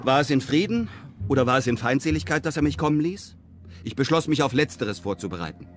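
A man playing a self-assured adventurer who recounts his exploits in measured, literary narration for a radio play. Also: medium pitch, animated, slight background noise, natural voice. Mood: composed. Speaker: first-person narrator. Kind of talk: dramatized scripted narration.

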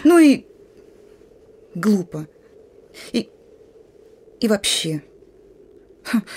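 A woman playing a young female partisan sentry in a radio drama, blunt and colloquial, gruff yet dreamy. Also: medium pitch, a little mellow. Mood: indignant. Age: young adult. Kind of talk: Russian radio drama acting.

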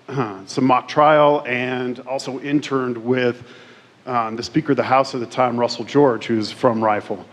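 A man in his forties from Colorado giving a casual, self-deprecating career talk to high school students, with many restarts. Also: lo-fi sound. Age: 40s. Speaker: career expo speaker. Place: large school auditorium stage. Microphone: podium mic on stand.

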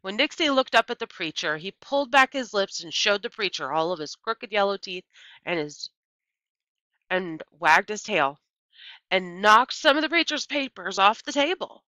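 A middle-aged woman reading a children's novel aloud like a teacher, with expressive, deliberate storytelling and emphasized key words. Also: medium pitch, no room sound. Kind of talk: children's novel read-aloud, scripted narration. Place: small indoor room with bookshelves. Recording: dull, lo-fi computer microphone sound.

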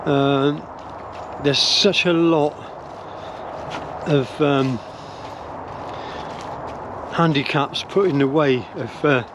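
A man's voice: on the high side, steady tone, extremely slow, pauses often, noisy background. Older man musing on a woodland walk. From England. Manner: musing, hesitant, many restarts and fillers. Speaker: vlogger. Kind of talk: rambling walk-and-talk opinion vlog. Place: woodland path outdoors while walking dogs. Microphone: phone or camera held close at arm's length.

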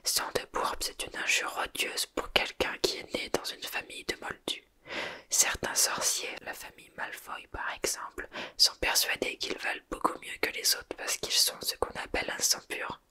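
A reader whispering a young teenage boy wizard who is ill and hoarse, in a storybook. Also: normal speed, sharp tone. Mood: indignant.

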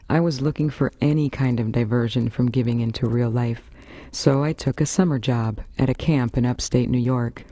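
A woman's voice: very deep voice, warm tone, no room sound. A woman with an American accent, hosting a variety radio show with scripted first-person storytelling. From Florida, United States.

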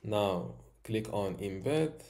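A man in his thirties walking through a software tutorial step by step, with occasional fillers, recorded at a home desk. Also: on the low side, lively intonation. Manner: slow, step-by-step instructions with fillers. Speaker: tutorial host.

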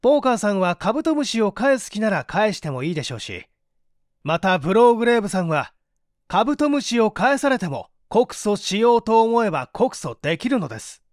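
A man's voice: sits high, very animated, a little fast, dry recording, studio quality, pitched above the performer's own voice. A man voicing an authoritative, composed detective doctor who speaks firmly and didactically in a story reading. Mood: firm objection. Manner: firm, emphatic, didactic.

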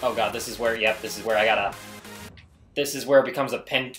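A man's voice: lowish voice, fairly even intonation, fast, a bit bright, faint music behind. A man giving casual, exclamatory gameplay commentary as a video host, often putting himself down. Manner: self-deprecating, exclamatory.

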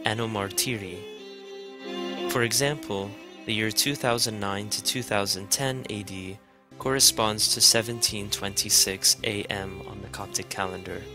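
A man reading a scripted documentary narration in a measured, even way. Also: lowish voice. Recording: music bed underneath.

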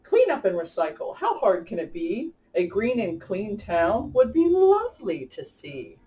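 A woman's voice: medium pitch, huge pitch swings, measured pace, dark tone, recorded from a distance, rough recording. A woman voicing a cheerful, eager townswoman chatting to a neighbour in a children's storybook. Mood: curious, hopeful.

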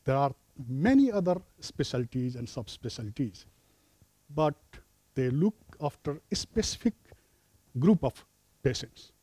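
A middle-aged man from Nepal giving an academic lecture as a professor of obstetrics, speaking in a measured, hesitant way with fillers. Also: mid-range voice, sing-song, warm tone, dry recording. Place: lecture room with a projector.

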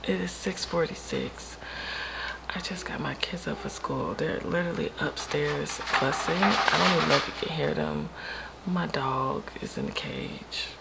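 Casual female vlogger, American, low gravelly morning voice, candid and informal, some household noise behind. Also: big pitch swings, unhurried. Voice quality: deep early-morning voice. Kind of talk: casual chatty vlog to camera. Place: home kitchen and upstairs rooms, indoors.

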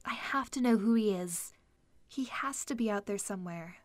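A woman's voice: medium pitch, moderate pace, dry recording, close to an everyday voice. A woman playing a kind, earnest young princess in an audio drama. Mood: wistful, earnest.

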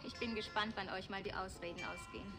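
A woman's voice: mid-range voice, steady tone, fast talker, natural voice. A young woman playing a skeptical, long-suffering officer's wife in a 1960s German television science fiction drama. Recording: echoey TV sound with loud music.

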